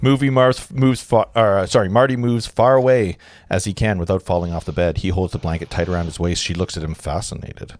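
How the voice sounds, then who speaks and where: A man's voice: deep voice, fairly even intonation, brisk, a little mellow, dry recording. A man in his forties reading stage directions aloud informally, tossing in his own asides. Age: 40s. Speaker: narrator of a script read-through.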